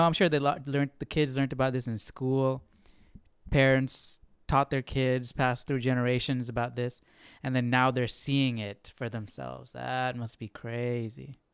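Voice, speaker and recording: A man's voice: mid-range voice, clean tone, dry recording, studio quality. A man hosting a live Bible study, thinking aloud in a casual, questioning, conversational way.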